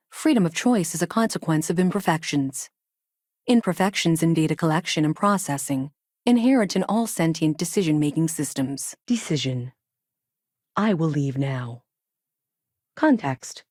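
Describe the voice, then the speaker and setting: A female robot ai from a space game, low voice, deadpan n formal, super dry clean sound. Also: normal speed, dry recording. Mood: flat, analytical. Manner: clipped, formal, deadpan, announces each statement type first. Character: emotionless, logical, self-aware female AI space probe. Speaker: video game character. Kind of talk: scripted game dialogue.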